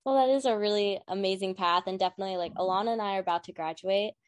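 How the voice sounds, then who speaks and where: A woman's voice: medium pitch, racing, no echo. A young woman in her twenties, a student co-host asking interview questions in a casual, curious way. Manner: casual, curious, with fillers like "like" and "kind of". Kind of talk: podcast interview.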